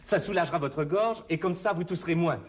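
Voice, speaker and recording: A man's voice: a little high, a little fast, background noise, natural voice. A man playing a patient, slightly exasperated male pharmacist who explains things carefully. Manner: patient, instructive, mildly exasperated. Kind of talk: scripted comedy language sketch.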